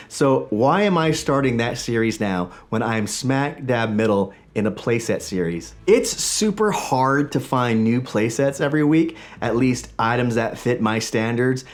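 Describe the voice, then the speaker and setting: An enthusiastic man in his forties talks casually to his camera from a small home studio, with a slight rasp left over from being sick. His pitch sits in a plain middle range, and he chats along in a lively, friendly flow. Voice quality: slightly raspy voice. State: recovering from illness. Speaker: toy collecting channel host. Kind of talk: casual vlog talk to camera.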